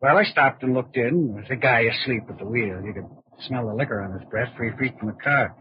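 A man's voice: medium pitch, a little fast, lightly acted. An actor playing a cocky, scheming con artist and killer in an old-time radio crime drama, talking in breezy tough-guy slang. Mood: smug, scheming. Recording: muffled, noisy old radio drama recording.

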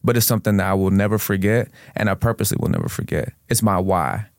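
A man's voice: on the low side, fairly even intonation, fast talker, dry recording, clean studio sound. A man raised in Florida, a podcast guest talking in laid-back street slang about his past. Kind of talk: casual interview chat.

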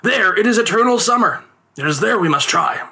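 A man's voice: high voice, expressive, fairly quick, pushed and bright, voice pushed up. A man voicing an aging street conjurer and father in a storybook tale, melodramatic, wily and given to pleading. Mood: boastful, urging. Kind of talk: storybook character voice.

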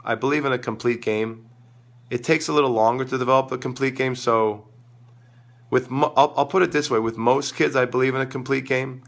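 A man's voice: medium pitch, steady tone, fairly quick. A man with a crackly voice worn by a sore throat, talking casually and emphatically as a tennis coach answering viewers on a live stream. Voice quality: crackly, gravelly. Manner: emphatic, opinionated, informal. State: losing his voice, sore throat. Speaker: tennis coach hosting a live online show.

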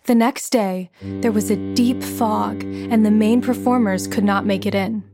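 A woman's voice: medium pitch, fairly even intonation, measured pace, music in the background. A woman reading a scripted biographical story for children as its narrator.

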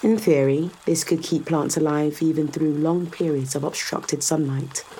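A woman's voice: low voice, moderate pace, warm tone, a little hiss or hum, low-quality recording. An actress playing a confident, sardonic woman in a science fiction audio drama, explaining things to a skeptical visitor with assured, dryly teasing delivery. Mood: assured, explanatory.

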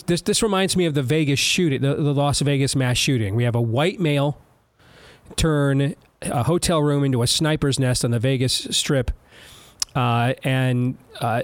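A male talk show host interviewing a guest, speaking in a loud, combative way. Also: mid-range voice, no room sound.

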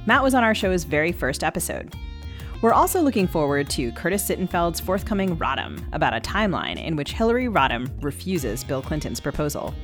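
A woman's voice: deep voice, very animated, a little fast, soft background music. A woman co-hosting a literary podcast, delivering prepared questions and announcements. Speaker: podcast co-host.

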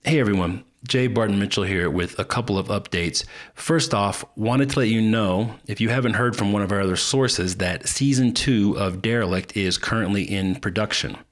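Low-pitched guy doing podcast announcements, casual and chatty delivery. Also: moderate pace. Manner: informal, conversational. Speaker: podcast host.

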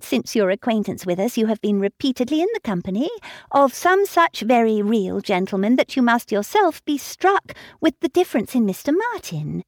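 Woman playing a condescending young lady in an audiobook, crisp and lively, dry close sound. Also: a little high, no echo, voice pushed up. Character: self-assured, snobbish young gentlewoman, lecturing.

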